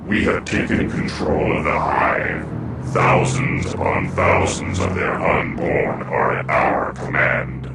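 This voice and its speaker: Ancient underworld tyrant for a fantasy game, cavernously echoing, extremely low, imperious and menacing. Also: male voice, little pitch movement, exaggerated voice. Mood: grave, imperious. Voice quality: very deep, gravelly. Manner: slow, imperious, formal fantasy diction. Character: ancient, menacing male dark overlord of an underground realm. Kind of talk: theatrical fantasy game cutscene acting. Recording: noisy game audio with heavy echo.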